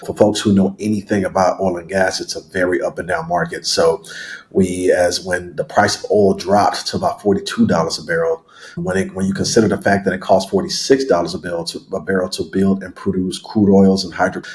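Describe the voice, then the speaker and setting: A man in his thirties who was born in Nigeria and raised in Houston, talking as a podcast guest. He tells his story in a relaxed, rambling way, correcting himself often and filling pauses with filler words. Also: low voice. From Nigeria, raised in Houston, Texas. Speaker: guest, real estate investor.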